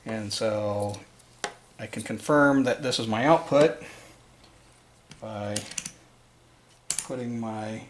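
A man's voice: on the low side, slow pace, pauses often, a little hiss or hum. Man giving a casual hands-on tutorial. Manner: informal, unscripted, explanatory. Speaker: presenter of a DIY wiring tutorial. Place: indoors at a work table in a room.

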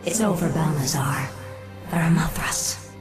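A woman voicing a cold, commanding, vengeful undead elf banshee queen in a game cutscene, haughty and deliberate. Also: deep voice. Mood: cold, imperious. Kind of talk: dramatic game cutscene acting. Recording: loud music behind.

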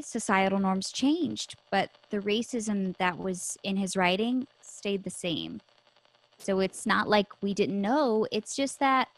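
A woman's voice: mid-range voice, a bit bright, no echo, natural voice, poor audio. A woman acting in a radio drama as a candid, thoughtful, bookish co-host. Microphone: close studio mic on boom arm.

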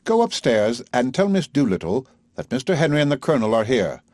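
A man's voice: mid-range voice, very animated, brisk, a little mellow, no echo, clean studio sound, voice pushed up. A man voicing a composed, drily reproving older woman. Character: calm, dry-witted older mother, composed.

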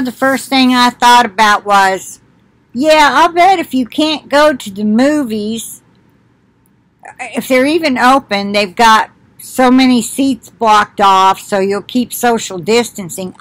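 A woman's voice: fairly high pitch, measured pace, fairly bright tone, dry recording, poor audio. An older woman talking casually to her webcam from her living room couch, chatty and reminiscing as she reacts to a video. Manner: chatty, reminiscing, laughs often. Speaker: commentary channel host. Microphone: webcam at desk distance.

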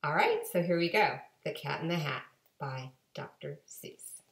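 Animated woman reading to children. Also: low voice, slight background noise. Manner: expressive, deliberate emphasis addressed to children. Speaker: guest storybook reader. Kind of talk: children's read-aloud. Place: home kitchen-dining room. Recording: echoey home room, webcam microphone.

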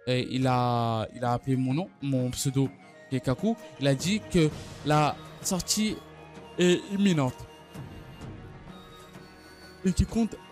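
A man giving an unscripted gaming news recap, speaking haltingly and often stumbling or repeating his phrases. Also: mid-range voice, darkish tone. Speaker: gaming video creator. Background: background music.

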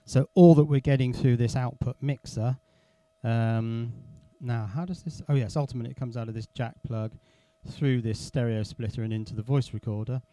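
A man's voice: medium pitch, normal speed, dark tone. A man giving a casual hands-on electronics demonstration, thinking aloud as he works. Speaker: electronics hobbyist presenter. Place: indoor workshop.

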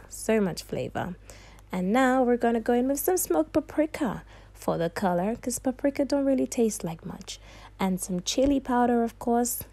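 A woman's voice: mid-range voice, dark tone. A young woman hosting a cooking tutorial, talking the viewer through each step in a chatty, sassy way and calling them darling and honey. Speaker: cooking channel host.

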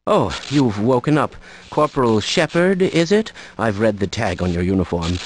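A man playing an anxious, courteous older scientist in a video game, speaking in a measured way. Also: on the low side, very animated, slight background noise. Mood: concerned, regretful.

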